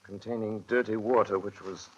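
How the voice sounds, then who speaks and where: A man's voice: fairly low pitch, steady tone, moderate pace, natural voice. An actor playing a sober, matter-of-fact man recounting a vampire hunt in a 1930s radio drama. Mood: grim, factual. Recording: muffled 1930s radio recording.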